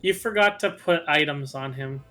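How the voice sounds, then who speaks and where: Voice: medium pitch, normal speed, a bit bright. A player chatting casually with friends during a game, explaining things in a matter-of-fact way. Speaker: gaming commentator and player. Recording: game music under the voice. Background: video game music.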